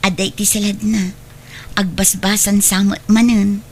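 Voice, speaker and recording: A woman playing a deferential young mother with a soft-spoken, accommodating manner and an Ilocano accent, in an audio drama. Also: medium pitch, little pitch movement, measured pace, a little hiss or hum, natural voice. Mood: grateful. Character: grateful, deferential young mother and live-in girlfriend.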